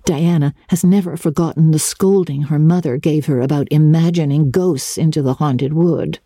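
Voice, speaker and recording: A woman's voice: low voice, moderate pace, mellow, dry recording, studio quality. A woman voicing an imaginative, talkative young girl, gushing and wistful in a dramatic storybook reading. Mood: dreamy, earnest. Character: dreamy, chatty, imaginative young girl, earnest and romantic. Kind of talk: dramatic audiobook reading of classic children's fiction.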